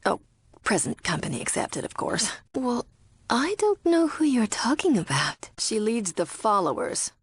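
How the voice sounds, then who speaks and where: A woman playing a guarded, secretly cynical cult follower in a video game, with a husky voice. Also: on the high side, sing-song, a little slow, dry recording, full character voice. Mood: exasperated, then airy, evasive. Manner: alternately airy devout reverence and blunt disdain. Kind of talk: video game character acting.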